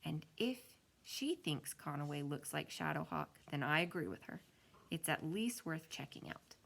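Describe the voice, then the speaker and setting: A woman's voice: low-pitched, animated, normal speed, vocal fry, a bit bright, heavily acted. A woman voicing a bold, smart schoolgirl in a storybook, confident and urging. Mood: earnest. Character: bold, smart schoolgirl backing her friend.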